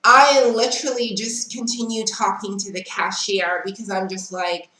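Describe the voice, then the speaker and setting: A woman's voice: medium pitch, steady tone, normal speed, sharp tone, slight background noise. A young South African woman telling a story in a casual vlog, chatty, frank and unbothered. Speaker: vlogger. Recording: echoey room.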